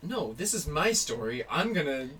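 A man hosting a casual interview, enthusiastic and quick to jump in with reactions. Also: fairly high pitch, very animated, bright, forceful tone, husky, low-quality recording.

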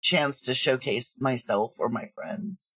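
A woman talking casually as an interview guest, telling stories in an animated way with quoted asides. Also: rumbling low, slow pace, breathy edge, no room sound, poor audio. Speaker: audio drama creator, interview guest.

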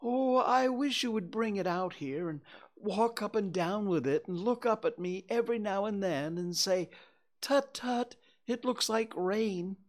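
A storyteller voicing a gentle, slow-witted male toy bear who talks slowly and stammers. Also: medium pitch, pitched above the performer's own voice, close to an everyday voice. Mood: anxious. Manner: slow, ponderous, hesitant with stammers. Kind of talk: storybook reading.

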